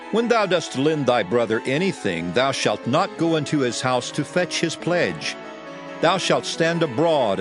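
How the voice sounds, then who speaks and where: A man playing a solemn prophet and lawgiver, declaiming commandments in archaic phrasing for a dramatized audio Bible. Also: medium pitch. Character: Moses, solemn male lawgiver addressing his people. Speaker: scripture reader in an audio Bible. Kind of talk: dramatized scripture reading. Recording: music under the voice. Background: music.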